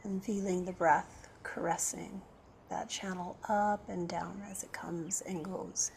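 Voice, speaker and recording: A woman leading a guided meditation as a yoga teacher, speaking gently and unhurriedly with pauses between phrases. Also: fairly low pitch, steady tone, vocal fry, a little hiss or hum, low-quality recording.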